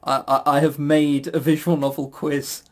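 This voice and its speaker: Male podcast quizmaster, slightly high voice, chatty and rambling, self-mocking humor with casual swearing. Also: level tone, moderate pace. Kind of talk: casual banter.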